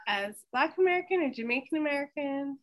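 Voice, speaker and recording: A woman hosts an oral history interview with a bright, ringing voice. She speaks in a slow, measured way. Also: high-pitched, lively intonation. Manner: slow, measured, scripted-sounding introduction. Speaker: host.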